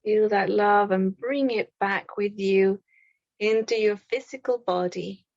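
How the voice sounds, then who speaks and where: Female meditation guide. Unhurried, gentle phrasing with long pauses; dry, slightly dull lo-fi recording. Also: medium pitch, gravelly, dry recording. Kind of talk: guided meditation, spoken slowly.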